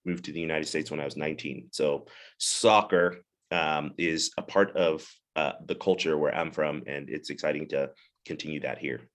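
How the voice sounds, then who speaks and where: A man's voice: very deep voice, steady tone, moderate pace, fairly bright tone. A man from Zimbabwe, a pastor and soccer chaplain, introducing himself as a podcast guest in an easygoing, upbeat way. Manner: easygoing, upbeat, with frequent fillers.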